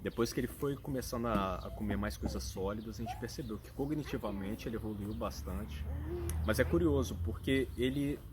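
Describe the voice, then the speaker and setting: A man's voice: fairly low pitch, fairly quick, background noise. A man with a Brazilian Portuguese accent, a father talking earnestly and thoughtfully, searching for his words as he explains. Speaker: father and vlogger. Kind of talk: casual explanatory talk.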